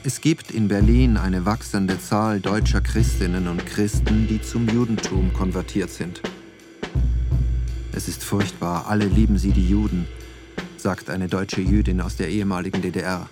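A man's voice: deep voice, steady tone, moderate pace, music in the background. A man narrating a scripted documentary text for a radio play.

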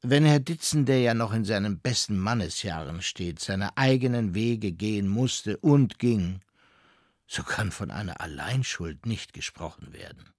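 A stiff, formal divorce lawyer reading a legal letter aloud, in a radio play. His delivery rises and falls with lively pitch, and the sound is dry and close. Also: a little slow, no echo.

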